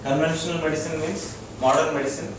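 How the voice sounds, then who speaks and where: A man with an Indian accent gives an informal educational talk as a doctor, explaining in a halting way to a group. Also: a little high, fairly even intonation. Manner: halting, explanatory, checks listeners follow. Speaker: doctor giving a wellness lecture. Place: echoey room with a small audience. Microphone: distant from the speaker. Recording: very echoey room, distant mic, loud noise.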